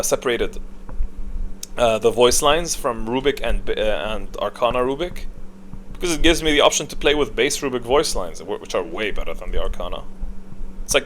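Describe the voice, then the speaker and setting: A man's voice: medium pitch, a bit bright, a little hiss or hum, poor audio. A man giving casual off-the-cuff gaming commentary, thinking aloud, hesitating and backtracking as he ranks things. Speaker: gaming video creator ranking voice lines.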